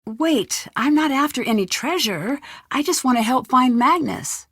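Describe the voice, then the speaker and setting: A woman playing an earnest, polite, persistent young detective in a video game, speaking clearly and in a conciliatory way. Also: sits high, expressive, measured pace, fairly bright tone, no echo, clean studio sound, heavily acted. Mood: friendly, conciliatory. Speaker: game protagonist.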